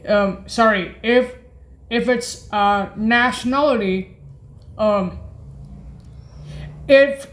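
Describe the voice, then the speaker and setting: Very slow-talking, higher-pitched woman. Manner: hesitant, self-correcting, repeats phrases. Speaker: music practice presenter. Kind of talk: halting instructional demonstration.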